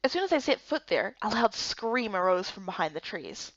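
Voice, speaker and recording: A woman giving expressive, dramatic first-person narration for a visual novel story. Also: mid-range voice, normal speed, dry recording, dull audio. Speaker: narrator and voice actor.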